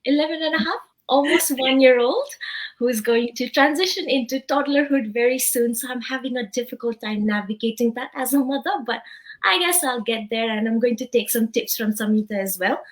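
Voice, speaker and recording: A woman's voice: fairly high pitch, brisk, rough recording. A woman in her thirties from Nepal, living abroad, talking through her story as an interview guest while a little unwell. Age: 30s. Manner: chatty, reflective, says "you know" often. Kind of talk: casual interview.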